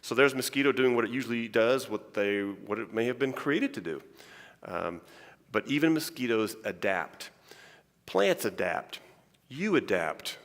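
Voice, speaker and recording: A man of ordinary pitch gives a relaxed, humorous science talk with no particular accent, often stumbling and restarting mid-sentence. His voice rings out in a large church hall with a long reverb trailing behind it. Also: unhurried. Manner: chatty and joking, with frequent restarts. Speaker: scientist giving a conference talk. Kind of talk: informal conference lecture.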